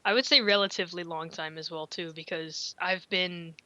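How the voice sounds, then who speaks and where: A woman hosts a casual chat podcast in a bright, somewhat low voice. She rambles and jokes about herself, and her close, dry mic sounds a little dull. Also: steady tone, moderate pace, no room sound. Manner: rambling, self-deprecating, laughs often. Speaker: podcast host.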